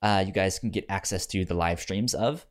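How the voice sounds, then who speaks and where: A man hosting a podcast in casual chat, talking haltingly with repeated false starts. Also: low voice. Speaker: podcast host.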